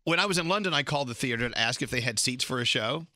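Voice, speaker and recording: A man's voice: mid-range voice, lively intonation, sharp tone, dry recording. Playful, campy male radio host. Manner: playful, campy, quick jokes. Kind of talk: morning radio banter.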